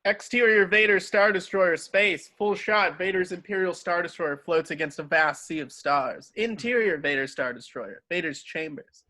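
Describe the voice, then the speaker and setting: Bright higher-voiced man narrating a script. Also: normal speed, hardly any pauses. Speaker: stage-direction narrator. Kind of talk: scripted narration in an amateur table read.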